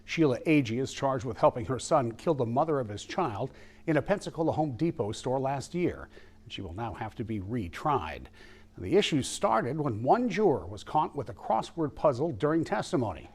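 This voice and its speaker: A man's voice: medium pitch, sing-song, normal speed, darkish tone, husky. A man anchoring the evening news, reading a script in a polished way and handing over to a reporter.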